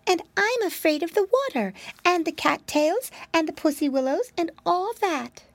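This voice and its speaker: A woman's voice: very high-pitched, expressive, moderate pace, no room sound, pitched above the performer's own voice. A woman voicing a timid little girl mouse who frets and worries. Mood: fearful. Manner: fretful, breathless worrying.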